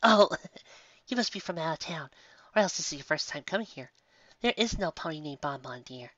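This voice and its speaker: A woman's voice: deep voice, lively intonation, moderate pace, a bit bright, no echo. Woman doing a sweet motherly pony shopkeeper. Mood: chatty. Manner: sweet, doting, calls everyone dear. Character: gentle motherly mare candy-shop owner. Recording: slightly muffled recording.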